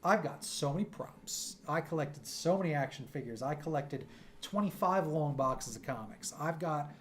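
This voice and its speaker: A man's voice: on the high side, moderate pace. A man in his thirties with a New York accent, chatting casually and animatedly while livestreaming his art from home. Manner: animated, self-deprecating, loose and chatty. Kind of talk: casual rambling livestream chat. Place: home streaming room. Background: soft synth music.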